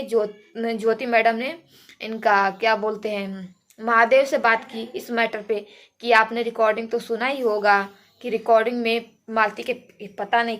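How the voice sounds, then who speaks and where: A woman's voice: on the high side, fairly bright tone. A woman gives informal commentary to viewers, emphatic and repeating phrases for stress. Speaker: reaction video host. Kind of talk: informal commentary on a viral dispute, addressed to viewers.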